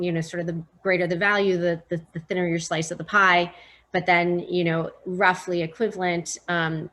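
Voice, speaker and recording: A woman panelist speaking in a measured, hedging way. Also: lowish voice, a bit bright. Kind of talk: panel discussion.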